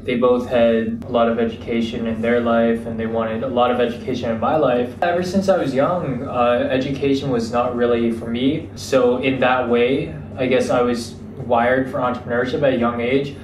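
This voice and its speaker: A young American man telling his story as an interview guest, relaxed and reflective, with frequent fillers. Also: medium pitch, normal speed, few pauses, slight background noise. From United States. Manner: relaxed, reflective, many fillers like "you know". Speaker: guest, entrepreneur. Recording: roomy, distant mic, faint ambience.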